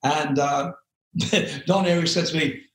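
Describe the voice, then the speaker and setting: An older English man with a loud speaking voice, telling rambling stories as an interview guest, with many fillers and frequent laughs. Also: a little high, fairly even intonation, measured pace, reverberant, rough recording. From England. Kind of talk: relaxed storytelling interview.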